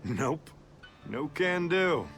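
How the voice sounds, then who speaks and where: An actor playing a brash, hot-blooded roguish fighter in a video game, cocky and slangy, with music underneath. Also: male voice, a little high, big pitch swings, extremely slow, fairly bright tone, exaggerated voice. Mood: firm, defiant. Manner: cocky, rough slangy talk. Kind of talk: English video game dub acting.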